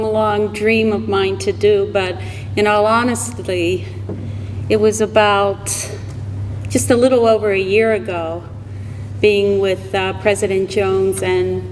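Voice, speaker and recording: A woman in her sixties gives an informal speech of thanks in an echoing hall with some noise around her. Her pitch is average, and she talks conversationally, feeling her way through the words. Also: level tone, takes it slowly. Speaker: donor giving thanks at a ceremony. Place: large room, public event. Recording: echoey hall with background noise.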